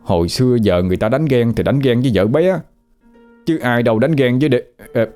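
A nosy young male ghost with a Southern Vietnamese accent, as a man plays him who chatters, grumbles and fumbles his words. His voice is dark and mellow at a mid pitch, with soft guitar under it in an audio story. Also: fairly quick, lightly acted. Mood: chatty. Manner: talkative, fussy, flustered.

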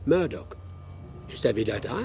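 An actor playing a calm, sly, unflappable rural man in an audio drama, dryly polite and teasing. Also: medium pitch, moderate pace, a little hiss or hum, natural voice. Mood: matter-of-fact.

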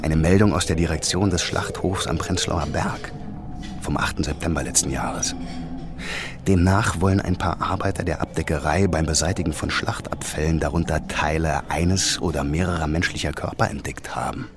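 A low-voiced, gruff police inspector. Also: male voice, steady tone, moderate pace, background noise. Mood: low, grim. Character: gruff, commanding male police inspector hunting a killer. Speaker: actor. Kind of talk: German radio drama acting. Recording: music bed behind the voice.

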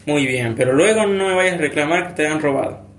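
A man's voice: fairly high pitch, a little fast, close to an everyday voice. A man playing a bumbling, chatty bank robber in a comedy skit, blundering but earnest. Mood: fussy. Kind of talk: amateur comedy skit acting. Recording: echoey room with background noise.

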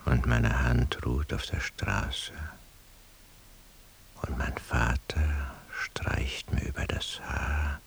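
An actor playing a detached, dreamy man thinking to himself in a hushed, brooding literary radio monologue. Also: extremely low pitch, fairly even intonation, vocal fry, heavily acted. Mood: wistful, dreamy. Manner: hushed, slow, brooding, often sinking toward a whisper. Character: detached, dreamy man lost in his solitary inner thoughts. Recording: faint tape hiss.

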